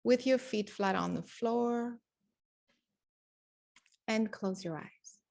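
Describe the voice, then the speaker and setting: A woman leading a guided meditation, giving spoken instructions in deliberate short phrases with long pauses between them. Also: on the high side, vocal fry, a little mellow.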